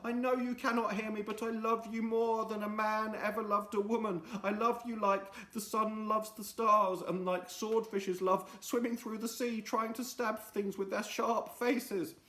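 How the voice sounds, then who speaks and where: A man voicing Friday, an excitable, lovestruck young man in a storybook, with a high, theatrical, wailing voice. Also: a little slow, few pauses, echoey room. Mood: dramatic.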